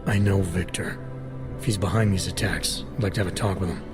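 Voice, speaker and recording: An actor playing a gruff, stoic video game agent, terse and deadpan with a low, gravelly voice. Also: male voice, fairly quick, close to an everyday voice. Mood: wry, firm. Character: gruff, stoic augmented male agent, calm and wry. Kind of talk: cinematic video game acting. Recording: dramatic music behind the voice.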